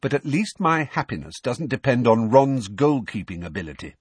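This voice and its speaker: A man voicing a bookish, brisk, exasperated teenage girl in an audiobook, in a lecturing manner. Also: mid-range voice, very animated, husky, no room sound. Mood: impatient, defensive.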